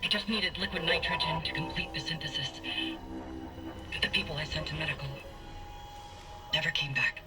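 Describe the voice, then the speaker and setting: A woman acts out a weary but determined scientist in a video game drama. She explains things with grim urgency. Music plays clearly behind her voice. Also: sits low, normal speed, long pauses, natural voice. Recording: music under the voice.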